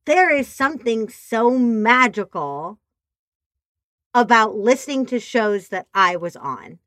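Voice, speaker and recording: A woman with a nasal voice talking casually on a podcast, expressive and animated as she tells stories. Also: mid-range voice, no room sound, studio quality. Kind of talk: casual chat. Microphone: remote call.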